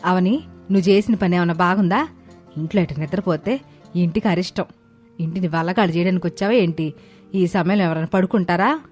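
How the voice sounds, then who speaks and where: A woman playing a scolding, scheming older mother-in-law in a dramatised family story, with a sharp, accusing manner. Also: on the low side, fairly quick, long pauses, faint music behind, close to an everyday voice. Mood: angry nagging. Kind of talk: dramatised moral story acting.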